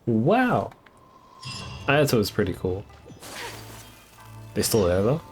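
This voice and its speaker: A man's voice: fairly low pitch, big pitch swings, slow pace. A man streaming a game, giving casual live commentary in a laid-back way and reading text from the screen. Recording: game music behind the voice.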